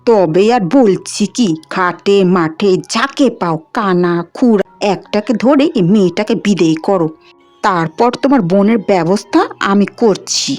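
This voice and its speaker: A woman voicing a cruel, resentful aunt in an animated fairy tale, scolding and complaining. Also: medium pitch, measured pace, faint music behind, natural voice. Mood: resentful, scheming. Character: nagging, cruel aunt resentful of her dependants.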